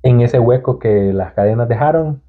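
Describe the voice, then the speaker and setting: A man's voice: medium pitch, moderate pace. A young Salvadoran man with a Salvadoran accent, chatting casually as a podcast guest with hesitant restarts. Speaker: guest entrepreneur. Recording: tinny phone-like sound.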